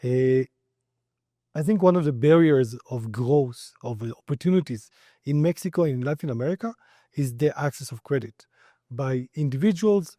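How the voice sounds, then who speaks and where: A man's voice: fairly high pitch, dark tone, no room sound, clean studio sound. A middle-aged man with an Israeli accent, giving explanatory answers as an expert in an interview. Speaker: company founder and credit expert interviewee.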